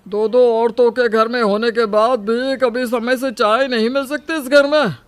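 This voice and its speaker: A woman playing a grumpy, complaining older husband in a cartoon story, in a loud, demanding manner. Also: on the high side, steady tone, normal speed, close to an everyday voice. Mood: irritated. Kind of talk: acted cartoon story dialogue.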